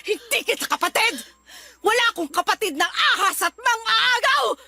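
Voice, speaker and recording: An actress playing a furious betrayed wife and older sister in a radio melodrama, shouting sarcastic accusations. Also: female voice, very high-pitched, animated, moderate pace, gravelly, a little hiss or hum, exaggerated voice. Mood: hurt, tearful rage.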